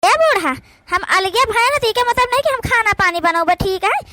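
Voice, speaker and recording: A demanding, defiant young wife from an Awadhi cartoon, played by a woman, with an Awadhi accent. Her voice is piercingly high and bright, bossy in manner, and recorded very dry. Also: expressive, fairly quick, no room sound, heavily acted. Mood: haughty, demanding. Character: haughty, demanding youngest daughter-in-law. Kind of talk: amateur cartoon voice acting.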